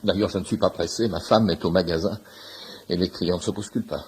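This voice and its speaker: A man's voice: low voice, steady tone, fairly quick, a little mellow, slight background noise, natural voice. A man playing a talkative, nosy shopkeeper who eagerly explains homeopathy in a pedantic way, in a French radio play. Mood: chatty, insistent. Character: chatty, nosy shirt-shop owner, amateur homeopathy enthusiast in waiting room. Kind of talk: naturalistic French radio comedy-drama acting.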